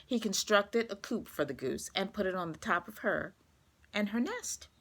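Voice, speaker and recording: A woman reading a children's storybook aloud, expressive and carefully paced for young listeners. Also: mid-range voice, moderate pace, a little hiss or hum.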